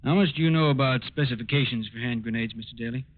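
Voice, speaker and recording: An actor playing a grave, methodical lab examiner in a 1940s radio drama, explaining in a deliberate way. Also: male voice, mid-range voice, close to an everyday voice. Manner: deliberate, expository. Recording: tinny old-time radio recording.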